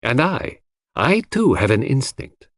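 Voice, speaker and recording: A man performs a solemn, shrewd foreign detective from a mystery audiobook. He speaks with measured emphasis and quiet command, his pitch moving expressively, in a close, dry recording. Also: very animated, dry recording. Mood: quietly insistent.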